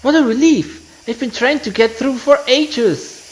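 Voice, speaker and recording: A game player voicing an excitable young subordinate who fusses, scolds and exclaims with emphasis. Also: high-pitched, a little slow, pitched above the performer's own voice, poor audio. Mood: relieved, urgent.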